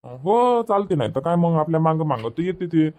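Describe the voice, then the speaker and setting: A man's voice: a little high, sing-song, fairly quick, a little mellow. A man playing an easygoing older farmer father in a comedy cartoon, agreeable and homely, with a Vidarbha Marathi accent. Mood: agreeable, helpful. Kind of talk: homemade comedy cartoon dubbing.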